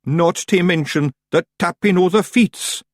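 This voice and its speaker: A man's voice: a little high, lively intonation, measured pace, dry recording, studio quality, pitched above the performer's own voice. A man voicing a timid, dim-witted male fairy with a Scottish accent, in an audiobook. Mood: fretful.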